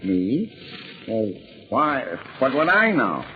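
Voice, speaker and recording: A man's voice: mid-range voice, huge pitch swings, slow pace, soft background music. An actor playing a blunt, puzzled small-town Western man with an American accent in an old-time radio drama. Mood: surprised, puzzled. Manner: folksy, blunt. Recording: muffled, noisy old radio recording.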